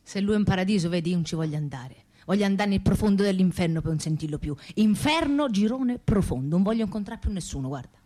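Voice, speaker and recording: Male actor as bitter mourner, high pitch, rapid, exasperated, stage drama. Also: lively intonation, mellow. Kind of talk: stage dialogue.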